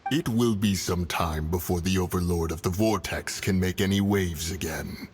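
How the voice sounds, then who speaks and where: An actor playing a stern, proud immortal guardian spirit in a fantasy game, speaking solemnly and formally. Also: male voice, low-pitched, normal speed, a little mellow, a little hiss or hum, natural voice. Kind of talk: scripted fantasy game dialogue. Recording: music under the voice.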